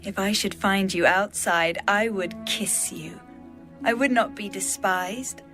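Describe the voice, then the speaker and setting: A woman playing a tender, devoted young bride in love, in a measured, poetic dramatised Bible reading. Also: medium pitch, fairly bright tone, soft background music. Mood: longing.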